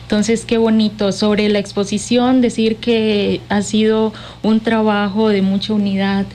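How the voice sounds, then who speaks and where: A woman's voice: mid-range voice, little pitch movement, measured pace, a little mellow, slight background noise, poor audio. A woman with a Colombian accent speaking effusively and affectionately as a guest in a conversational interview. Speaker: interview guest, cultural manager.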